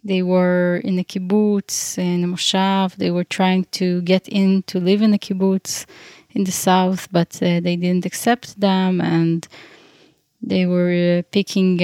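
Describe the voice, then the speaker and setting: Slow, hesitant woman from Ukraine. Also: fairly low pitch, flat delivery, a little mellow, clear, resonant tone, no room sound, clean studio sound. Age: 30s. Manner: hesitant, searching for words with many fillers. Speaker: interview guest. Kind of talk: casual conversation.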